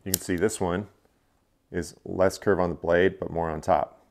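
A man's voice: sits low, level tone, normal speed, creaky, darkish tone, a little hiss or hum. A man in his thirties casually reviewing gear in a living room, explaining step by step with frequent fillers. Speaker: fishing channel host. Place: living room, indoors, seated on a couch.

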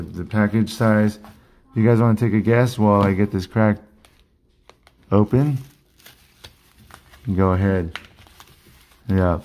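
A man talks loosely through an unboxing at his desk, restarting sentences as he goes. His voice sits a little low and is worn, as if he is losing it. He sounds like a hobby channel host chatting to his viewers. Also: darkish tone. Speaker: card collecting channel host. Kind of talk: casual unboxing vlog. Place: indoor collection room at a desk.

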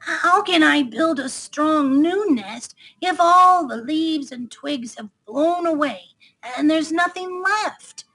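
A woman's voice: sits high, animated, takes it slowly, creaky, hardly any pauses, fairly bright tone, pitched above the performer's own voice, full character voice, poor audio. A woman voicing a small young hedgehog in a children's storybook, kind, earnest and a little anxious, with a childlike manner.

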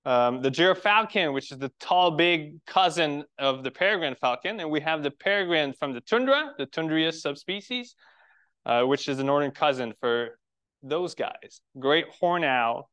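A man's voice: fairly high pitch, animated, normal speed, a bit bright, smooth. A man in his forties from Quebec with a French-Canadian accent, giving a friendly lecture to a birding club with rhetorical questions and restarted phrases. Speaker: biologist giving a public talk. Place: lecture room.

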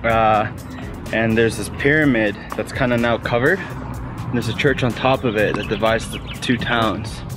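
A man hosting a casual travel vlog outdoors, relaxed and chatty as he explains the sights. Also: on the low side, moderate pace. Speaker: travel vlogger. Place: outdoors in a small town. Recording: music playing under the voice.

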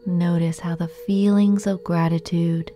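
A woman leading a guided meditation in a gentle, soothing, unhurried manner with long pauses. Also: fairly low pitch, little pitch movement, faint music behind.

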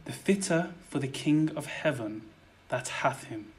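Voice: medium pitch, expressive, measured pace, a little hiss or hum, close to an everyday voice. An actor playing a mockingly sweet-tongued killer in a theatrical verse audio drama. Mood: taunting. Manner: theatrical, taunting.

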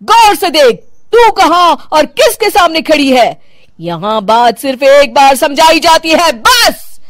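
A woman voicing a stern, elderly retired policewoman mother-in-law in a cartoon, commanding and given to drawn-out drill orders. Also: sits high, big pitch swings, no echo, studio quality, heavily acted.

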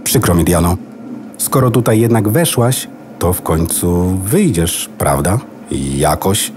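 A man's voice: low-pitched, lively intonation, unhurried, darkish tone, slight background noise. A man playing a proud, chatty know-it-all computer hard drive in a children's radio play. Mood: apologetic. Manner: pedantic, boastful, goes off on tangents.

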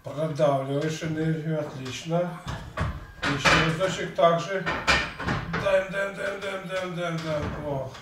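An older man, heard from across an echoey home gym, chants short repeated commands to a lifter. His delivery is slow and drawn out, and his voice sits a little high. Loud background noise runs under everything. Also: fairly even intonation, recorded from a distance. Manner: repetitive rhythmic urging, short commands. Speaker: trainer and channel host. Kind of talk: coaching a workout. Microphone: camera across the room.

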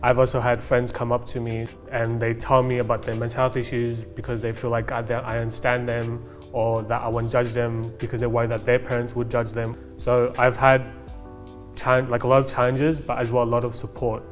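A young man, speaking in a measured, reflective way. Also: medium pitch, completely flat delivery, faint music behind. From South Africa. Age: young adult. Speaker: panel guest. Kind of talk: reflective interview. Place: bright studio with plants, indoors.

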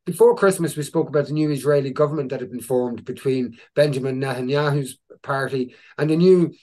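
A man's voice: medium pitch, fairly quick, darkish tone. A middle-aged man with an Irish accent, co-hosting a video call and giving opinionated commentary on news clips, with frequent restarts and fillers. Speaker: co-host presenting news clips. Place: indoor room with a virtual backdrop. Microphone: video call webcam.

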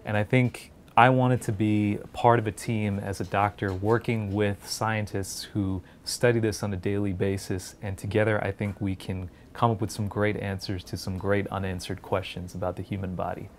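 A man's voice: lowish voice, flat delivery, normal speed, hardly any pauses, slight background noise. A man, earnestly talking to camera in an indoor research lab on a lapel mic. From India, raised in New Jersey. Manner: earnest, encouraging. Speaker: pediatric clinical fellow.

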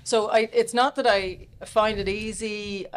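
A woman in her forties with a Dublin Irish accent speaks in a mid-range voice, thoughtful and self-deprecating. She often pauses on fillers and restarts her sentences. She is recorded close on a studio microphone in a small room. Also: mid-range voice, steady tone, slight background noise. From Dublin, Ireland. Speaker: podcast guest. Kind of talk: casual interview chat. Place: small podcast studio, indoors. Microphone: studio mic on a stand close up.

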